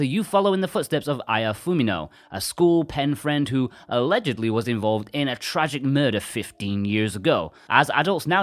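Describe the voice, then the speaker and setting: A man's voice: medium pitch, no echo. A man presenting a scripted video game review as narrator.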